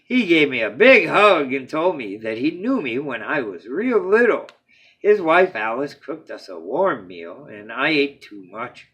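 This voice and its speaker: A man reading a children's storybook aloud as a bedtime story, with dramatic phrasing that opens emphatically and falls to a hush at phrase ends. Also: medium pitch, big pitch swings, measured pace, husky.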